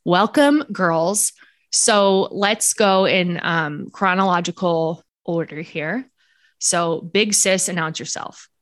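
A woman's voice: on the low side, slow, no room sound. A woman hosting a podcast in a casual, blunt manner, swearing freely and poking fun at herself. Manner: blunt and profane, self-mocking. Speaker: podcast host.

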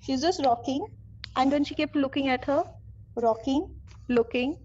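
Female storyteller, high voice, conversational and expressive, casual scary-tale telling over dull, noisy audio. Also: moderate pace. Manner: animated retelling with acted-out quotes. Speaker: guest storyteller. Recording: dull lo-fi audio with constant noise.

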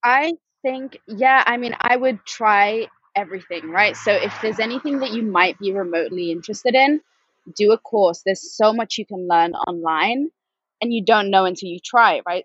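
A woman's voice: mid-range voice, husky, dry recording, highs cut off. A woman in her thirties from Spain, talking in a chatty, rambling way as a podcast guest. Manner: chatty, rambling, with frequent ums and laughs. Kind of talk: conversational interview.

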